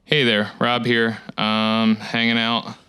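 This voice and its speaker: A man in his thirties talking in a casual, rambling solo monologue, with a dry, monotone delivery. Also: mid-range voice, slow pace, clean tone, no echo. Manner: rambling, reflective, many fillers. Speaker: musician hosting his own talk series. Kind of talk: casual solo talk to camera.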